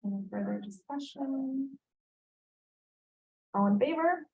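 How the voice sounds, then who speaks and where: A woman's voice: high-pitched, lively intonation, takes it slowly, gravelly, darkish tone, poor audio. A woman chairing a school board meeting, reading out motions and calling the votes in a formal way.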